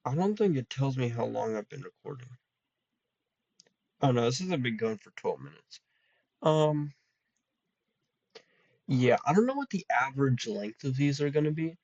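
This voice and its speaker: A man's voice: medium pitch, animated, moderate pace, pauses often, a little mellow, dry recording. A young man in a college dorm room, rambling in a casual solo chat with a slightly nasal voice and lots of ums. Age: young adult. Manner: rambling, full of ums and restarts. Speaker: vlogger. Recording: dull lo-fi dry recording.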